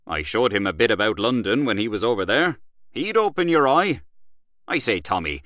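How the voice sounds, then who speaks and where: A man voicing a boastful, worldly Irish male journalist who talks loud and swaggering. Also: on the low side, big pitch swings, normal speed, no room sound, studio quality.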